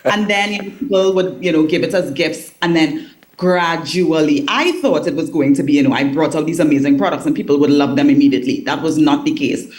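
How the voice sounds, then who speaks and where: A woman's voice: deep voice, fairly quick, a little mellow, rough recording. A woman from Trinidad and Tobago, a business owner, answering interview questions over a video call in an articulate way and laughing easily. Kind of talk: remote interview.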